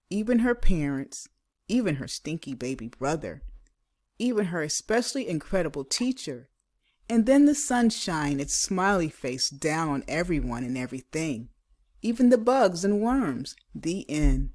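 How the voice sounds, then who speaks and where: A woman with a fairly low voice reads a children's storybook aloud. She is expressive and emphatic, telling the story in the manner of a teacher reading to pupils. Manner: dramatic, emphatic delivery with acted character voices.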